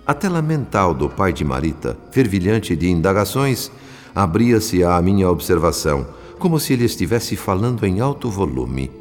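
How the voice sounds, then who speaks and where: A man's voice: deep voice, very animated, normal speed, a little mellow. A man with a Brazilian accent narrating a radio drama as a solemn observing spirit, in a literary, theatrical manner. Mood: solemn, reflective. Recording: long reverb, music underneath. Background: music.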